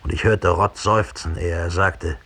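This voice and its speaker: Husky, low-voiced embittered killer confessing. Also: male voice, fairly even intonation, fairly bright tone, full character voice. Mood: brooding, bitter. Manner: slow, deliberate, brooding storytelling. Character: cold, embittered middle-aged German killer confessing his murder. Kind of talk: 1960s German radio play monologue.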